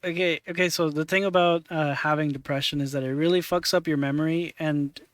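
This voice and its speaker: A man in his twenties hosting a stream, chatting casually in a halting way with many fillers while he works on screen. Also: fairly high pitch, steady tone, dry recording, studio quality. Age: 20s. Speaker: streamer host. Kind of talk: casual chat.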